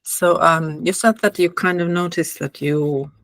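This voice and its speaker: A woman with a Finnish accent, a podcast host asking questions gently in a casual interview. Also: lowish voice, fairly even intonation, a little slow, gravelly, dry recording. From Finland.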